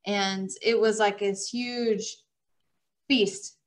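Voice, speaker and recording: A woman's voice: mid-range voice, steady tone, extremely slow. A young woman with a light Texan accent, a guest on a podcast, chatting in a friendly, giggly way over a video call. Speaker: guest, fitness coach. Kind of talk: casual interview chat. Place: room at home by a window.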